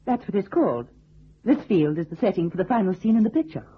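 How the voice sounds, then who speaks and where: An actress playing a haughty, poised movie star with a prim, formal manner in a radio detective drama. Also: female voice, medium pitch, very animated, fast, breathy edge. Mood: haughty, guarded. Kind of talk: old-time radio detective drama acting. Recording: muffled, narrow old radio recording.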